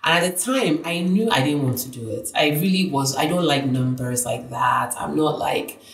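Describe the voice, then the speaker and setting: A woman, a Yoruba speaker, telling animated stories as a guest in a casual podcast interview. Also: sits low, normal speed, echoey room. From Nigeria. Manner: animated storyteller, acts out voices in her tales.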